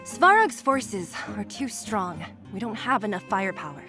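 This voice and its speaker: A woman's voice: mid-range voice, sing-song, moderate pace, close to an everyday voice. A woman voicing a tough young underground fighter in a video game, brusque and matter-of-fact. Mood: resigned, blunt. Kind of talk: scripted video game voice acting. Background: game music.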